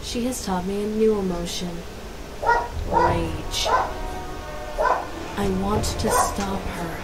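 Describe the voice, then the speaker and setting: A woman's voice: medium pitch, steady tone, slow, over loud music, close to an everyday voice. A woman voicing an obsessive, menacing teenage schoolgirl stalker in a video game, cold, intense and possessive. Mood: possessive, vengeful. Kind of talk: dramatic game monologue and dialogue. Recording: noisy game playback, barking dog behind.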